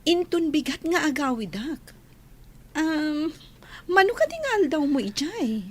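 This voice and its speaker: A woman plays a jealous, devoted partner in a comedy radio drama. She speaks slowly in a very high voice with an Ilocano accent, questioning her man. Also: very animated, slight background noise. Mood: suspicious.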